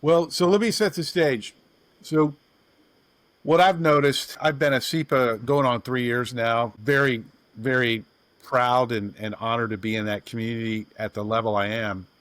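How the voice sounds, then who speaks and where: A man's voice: mid-range voice, fairly even intonation, moderate pace, fairly bright tone, dry recording. A man hosting a podcast in a folksy, rambling way, introducing his guests. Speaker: podcast host.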